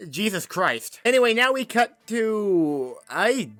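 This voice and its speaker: A man with a high, bright voice that swings widely in pitch as he delivers a comedic review. His narration is sarcastic and mocking, with animated, scripted delivery. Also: slow, no echo, soft background music. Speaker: host, movie reviewer.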